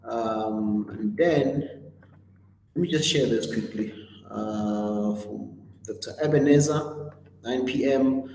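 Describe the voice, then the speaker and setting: A man's voice: medium pitch, darkish tone, slight background noise. A man hosting a livestream, running through the week's schedule casually with lots of ums and self-corrections. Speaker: host. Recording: roomy echo with long reverb.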